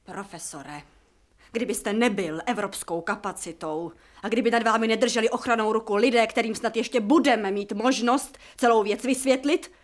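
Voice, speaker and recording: A woman's voice: on the high side, natural voice. An actress playing an earnest female house committee activist in a radio play, officious and accusatory. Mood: indignant.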